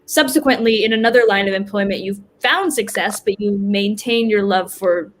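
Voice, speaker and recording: A woman playing Death as a formal, dutiful, stage-struck figure in a comic play reading, with a measured, literal way of talking. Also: mid-range voice, lightly acted. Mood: politely skeptical. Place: remote virtual reading. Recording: noisy online video call audio.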